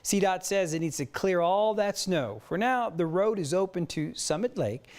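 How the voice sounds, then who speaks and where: A man's voice: high voice, lively intonation, normal speed, darkish tone. American man anchoring TV news. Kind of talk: scripted news narration with some co-anchor banter.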